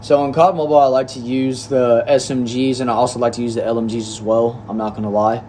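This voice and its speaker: A man's voice: medium pitch, little pitch movement, fast, mellow, a little hiss or hum. A nineteen-year-old man from Texas, reading viewer comments aloud on a livestream in an easygoing, chatty way. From Texas, United States. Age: young adult. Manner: laid-back, chatty, addresses viewers as bro and y'all. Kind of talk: casual livestream chat. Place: indoor room.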